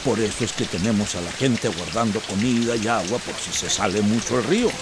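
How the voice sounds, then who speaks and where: An actor playing a calm, reassuring older local man with a gravelly voice in a radio drama, with a Central American Spanish accent. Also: fairly low pitch, fairly quick, very noisy, close to an everyday voice. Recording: rain and crowd murmur behind.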